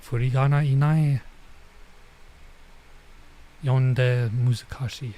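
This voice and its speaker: Mellow, informal male gaming streamer. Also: medium pitch, steady tone. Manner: informal, halting, mutters to himself while translating. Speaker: streamer playing a visual novel. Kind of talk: casual let's play commentary, reading dialogue aloud.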